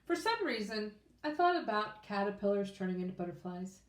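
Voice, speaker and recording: A woman reading a children's storybook aloud in an expressive, informal way. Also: medium pitch, fairly quick. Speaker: children's book reader. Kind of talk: read-aloud storybook narration. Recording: echoey room.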